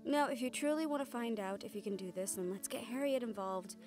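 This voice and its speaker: A fairly high female voice of an actress plays a caring supernatural mentor in an intimate audio roleplay. She speaks earnestly and tenderly, encouraging the listener closely. Soft music sits behind her. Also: a little fast, natural voice. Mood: encouraging, wry.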